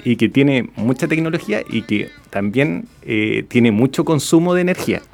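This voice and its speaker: Middle-aged man, Chilean accent, interview guest, halting delivery, mid-pitched, creaky voice. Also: expressive, a little mellow. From Puerto Varas, southern Chile. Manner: hesitant, halting. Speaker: interview guest, regional government official. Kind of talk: conversational radio interview.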